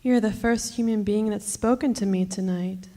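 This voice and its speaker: A woman's voice: mid-range voice, steady tone, moderate pace, warm tone, close to an everyday voice. An actress playing a seductive, commanding immortal witch in a radio drama revival, coaxing and self-assured.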